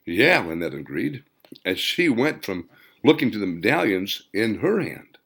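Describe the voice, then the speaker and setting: A man's voice: on the low side, very animated, normal speed, creaky, fairly bright tone, slightly rough. A man reading a scripted story aloud as an audiobook narrator. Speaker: author reading own audiobook.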